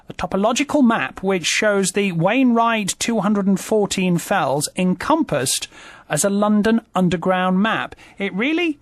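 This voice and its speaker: A man's voice: high-pitched, dry recording. A man presenting a radio interview in a studio, upbeat and effusive.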